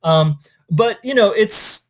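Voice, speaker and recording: A man speaking as an English teacher, informally reading and discussing poetry in a reflective, conversational, somewhat halting way. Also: high voice, animated. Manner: reflective, conversational, hesitant with trailing asides.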